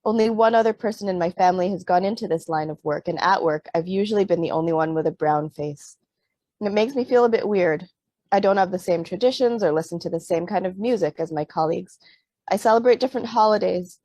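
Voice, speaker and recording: A woman's voice: fairly low pitch, quick pace, dark tone, no room sound. A middle-aged woman from Canada, an author on a panel who talks with many fillers and restarts, then reads aloud from her book. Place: Vancouver.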